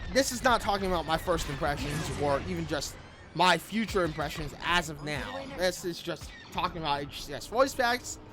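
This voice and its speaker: A man's voice: fairly high pitch, animated, moderate pace. A man giving casual video commentary over gameplay, rambling and often repeating his phrases. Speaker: gaming video creator. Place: room at home. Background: background music.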